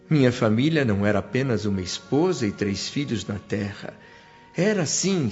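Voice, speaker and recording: A man playing a humble, reflective spirit who narrates a radio drama in earnest, measured phrases with a Brazilian accent. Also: medium pitch, close to an everyday voice. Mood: resolute, moved. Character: reflective, humble male spirit recounting his awakening. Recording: dull audio with music behind.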